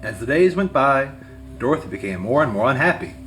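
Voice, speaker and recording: A man reading a children's story aloud as scripted narration, in an expressive way. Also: medium pitch, a little fast. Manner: expressive read-aloud delivery. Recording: music behind the voice.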